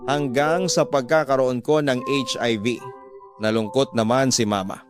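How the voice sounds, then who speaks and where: A man narrating a letter in the first person for a radio drama, in a dramatic scripted style. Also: mid-range voice. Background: background music.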